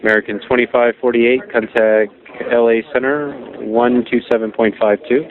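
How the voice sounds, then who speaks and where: A man's voice: mid-range voice, fairly even intonation, moderate pace. A man working as an air traffic controller, giving clipped, procedural, matter-of-fact instructions over aviation radio. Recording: noisy narrow-band air traffic radio. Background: radio static and clicks.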